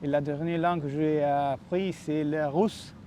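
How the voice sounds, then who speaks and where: A man from southern Italy being interviewed casually outdoors, listing things with pauses. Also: fairly high pitch, steady tone, warm tone, slight background noise. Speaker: guest.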